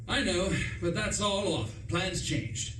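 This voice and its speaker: A man's voice: sits high, animated, slow, a bit bright, a little hiss or hum, exaggerated voice. Guy doing posh young gent, flustered and chatty old slang, roomy distant stage recording. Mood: cheerful, blustering. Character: flustered, breezy upper-class young English gentleman, narrating. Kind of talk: theatrical radio play narration and dialogue.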